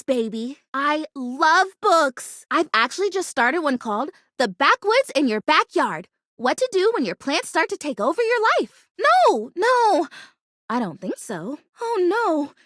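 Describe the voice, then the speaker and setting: Quirky female plastic plant game character, very high voice, gushing, perky, sincere, close dry sound. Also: fairly bright tone, no room sound, exaggerated voice. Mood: happy, playful. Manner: bubbly, gushing, earnest, quirky. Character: kooky, perky, earnest female plastic houseplant come to life. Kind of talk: video game character voice acting.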